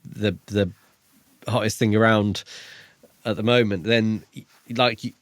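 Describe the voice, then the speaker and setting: A man's voice: fairly low pitch, steady tone, a little slow, dry recording. A man, co-hosting a podcast in a casual book review chat. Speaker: podcast co-host.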